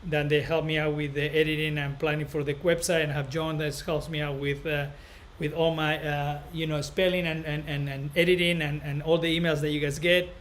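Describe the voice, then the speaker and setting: A man with a Spanish accent and a fairly high voice hosts a casual live stream for viewers. He talks in a rambling, halting way, circling back and repeating phrases. Clear background noise sits behind his voice. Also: flat delivery, a bit bright. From northern Spain. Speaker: barber educator and live stream host.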